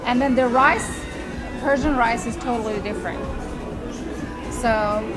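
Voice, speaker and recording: A woman from Iran chatting casually in a cafe, bubbly and quick to laugh, with lots of fillers. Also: fairly high pitch, poor audio. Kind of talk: casual chat. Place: busy cafe. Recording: loud music and crowd chatter. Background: loud music, crowd.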